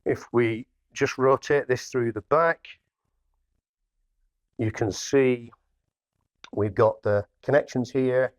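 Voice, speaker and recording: A man's voice: mid-range voice, takes it slowly, pauses often, no room sound, highs cut off. A man giving a casual product demonstration as a hi-fi dealer, plainly explaining each step as he works. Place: indoor hi-fi showroom.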